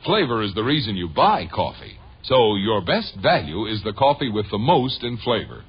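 A man with a husky voice, delivering a 1950s radio advertisement as announcer. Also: on the low side, big pitch swings, normal speed. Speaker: commercial announcer. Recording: dull old radio broadcast, music behind.

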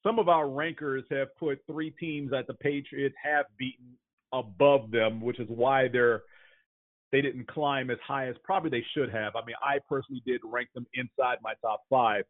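A man's voice: mid-range voice, fairly even intonation, normal speed, no room sound. A man co-hosting a casual sports podcast, going over injury news and power rankings. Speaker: podcast co-host. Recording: tinny phone-like dry sound.

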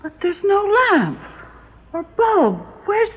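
A woman's voice: very high-pitched, very animated, slow, exaggerated voice. An actress playing a frightened, impatient woman passenger with a dramatic, insistent manner, in 1960s radio drama style. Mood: uneasy. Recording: noisy, dull 1960s radio recording.

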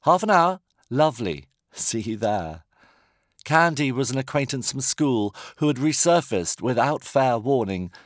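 A British man reading a comic novel as an audiobook narrator, measured and wry. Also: medium pitch, no room sound, studio quality. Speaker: author reading own novel.

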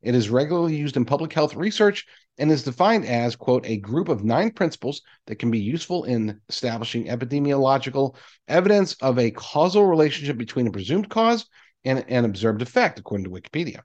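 A man hosting a commentary show, reading articles aloud and adding his own emphatic, deliberately repeated asides. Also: medium pitch, very animated, darkish tone, lo-fi sound. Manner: emphatic, deliberate repetition of key phrases.

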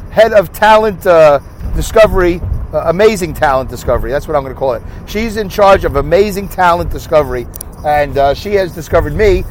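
A man's voice: high voice, poor audio. A man with a Brooklyn accent hosting a rambling solo podcast while driving, chatty and self-mocking, asking himself rhetorical questions. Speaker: podcast host. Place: inside a car while driving on a highway. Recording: faint road noise in a car. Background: road and car noise.

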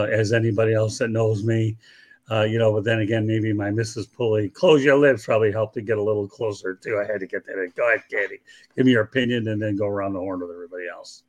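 A man with a low, slightly hoarse voice runs a casual sports talk show. He is chatty and jokey as he keeps the panel moving.

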